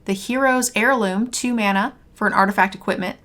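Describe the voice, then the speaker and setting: A young woman hosting a card game review, a bit hoarse as her voice is failing, talking in an upbeat conversational way. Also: mid-range voice, brisk, a bit bright. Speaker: host. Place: home streaming room, indoors.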